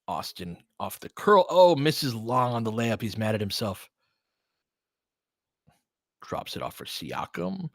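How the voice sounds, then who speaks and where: A man's voice: on the low side, sing-song, slow pace, long pauses, dry recording, clean studio sound. A man doing casual live basketball play-by-play as a stream host, speaking conversationally in short, clipped phrases. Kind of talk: casual live basketball commentary with fan chat.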